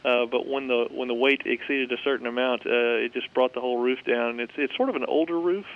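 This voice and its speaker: A man speaking by telephone in a live news interview, explaining in a measured, hesitant way with frequent pauses. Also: medium pitch. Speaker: head of school, phone interviewee. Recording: dull band-limited phone line.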